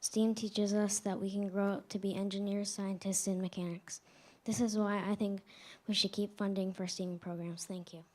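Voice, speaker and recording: A woman's voice: mid-range voice, little pitch movement, normal speed, darkish tone, slight background noise. A fourth-grade girl reading a prepared public comment at a school board meeting, carefully, slipping into whispers at times. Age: child.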